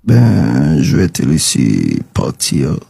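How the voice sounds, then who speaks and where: A man's voice: extremely low pitch, huge pitch swings, slow pace, gravelly, mellow, slight background noise. A man in an intimate casual conversation, speaking in an affectionate, coaxing way.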